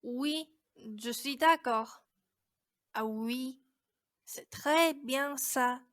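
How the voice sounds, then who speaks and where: A woman's voice: sits high, extremely slow, a bit bright, full character voice. A woman playing a meek, self-punishing teenage girl in a radio drama, speaking hesitantly and earnestly.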